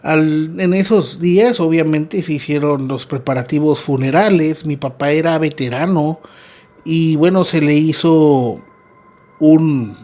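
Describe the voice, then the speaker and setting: A middle-aged man from Mexico with a northern Mexican accent, narrating scary stories as host in an unhurried, earnest way with filler pauses. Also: on the high side, a little mellow. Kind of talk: storytelling podcast narration.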